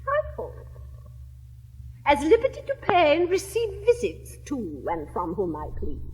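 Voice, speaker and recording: A woman's voice: sits high, wildly swooping pitch, measured pace, long reverb tail, full character voice, low-quality recording. An English actress in her seventies playing a witty, capricious young lady of fashion, with an affected, swooping theatrical drawl. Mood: imperious. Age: 70s. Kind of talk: 1960s classic stage recording.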